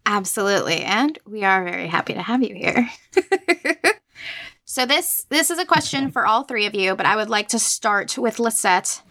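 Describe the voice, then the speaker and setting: Bright, crisp-sounding woman interviewer, closely recorded. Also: medium pitch, animated, moderate pace, no room sound. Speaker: interview host. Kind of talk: prepared interview questions.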